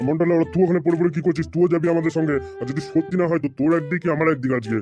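Cartoon gang boss, played by a man: short-fused, foul-mouthed, threatening, extremely quick delivery. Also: a little high, steady tone, darkish tone, faint music behind, natural voice. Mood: angry, threatening. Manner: blustering, threatening, swearing. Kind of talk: amateur comedy cartoon dubbing.

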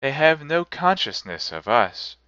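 A man's voice: fairly high pitch, sing-song, pushed and bright, clear, resonant tone, no room sound, voice pushed up, exaggerated voice. A man doing a mild, solemn spirit. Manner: solemn, measured, commanding. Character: gentle, mild, otherworldly spirit. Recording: dull lo-fi dry recording.

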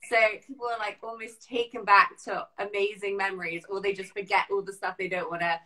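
A woman's voice: medium pitch, flat delivery, brisk, pushed and bright, poor audio. A woman in her thirties hosting a casual, effusive chat interview over a video call. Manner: effusive, chatty. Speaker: host. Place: living room at home, indoors. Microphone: video call device.

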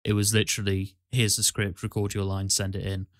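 A man hosting a casual podcast, explaining things in a halting, conversational way. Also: lowish voice, monotone, no echo, clean studio sound. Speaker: podcast host and amateur voice actor.

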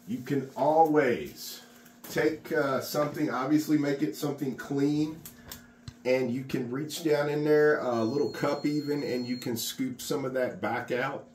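A man's voice: medium pitch, darkish tone, reverberant, slight background noise. A middle-aged man, a contractor, giving a casual, encouraging how-to demonstration while he works in an unfinished garage workshop, recorded on a phone camera. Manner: chatty and encouraging, talks while working. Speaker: contractor teaching epoxy work.

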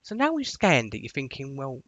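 A man giving a casual, rambling software tutorial in an informal, chatty way as a voice-over. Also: mid-range voice, wildly swooping pitch, moderate pace, no echo, muffled recording. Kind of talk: casual screen-share tutorial walkthrough.

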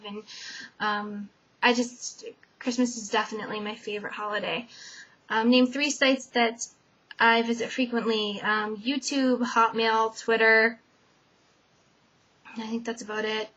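A young woman from Connecticut answering a tag video's questions in a casual chat, with lots of "um" fillers, her voice trailing off softly at sentence ends. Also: a little high. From Connecticut, USA. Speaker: vlogger. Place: dim bedroom, indoors. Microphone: webcam. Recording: dull lo-fi webcam audio.

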